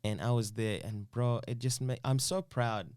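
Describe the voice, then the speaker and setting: A young man from Uganda, a dancer, speaks earnestly in a casual interview. He often restarts and repeats his phrases. His voice sits at a middle pitch, and the recording is dry and close. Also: moderate pace, a little mellow, clean tone, dry recording.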